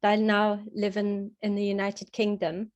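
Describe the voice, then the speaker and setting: A woman giving measured, earnest interview answers as a guest analyst, deliberate and often pausing. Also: medium pitch, monotone, a little mellow, highs cut off. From South Africa. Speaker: interview guest, political analyst and website creator.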